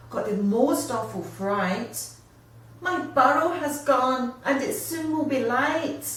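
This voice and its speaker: A woman plays a male storybook wombat, itchy and full of dramatic complaint. The voice sits high and takes its time, pleading in rhyme. It sounds distant in a very echoey room. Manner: exclamatory, rhyming complaint. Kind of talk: children's storybook character voice. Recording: echoey room, distant mic, faint noise.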